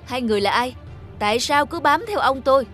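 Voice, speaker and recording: A devoted adult grandson for an animated drama. He is protective and speaks with sincerity. Music sits behind his voice. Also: extremely high pitch, fairly quick. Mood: indignant. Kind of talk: cartoon voice acting.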